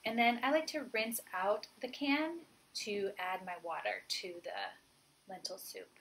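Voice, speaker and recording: A woman's voice: medium pitch, animated, measured pace, a bit bright, poor audio. A woman in her forties, a chef, giving a friendly, upbeat cooking demonstration and explaining each step plainly. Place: home kitchen, indoors.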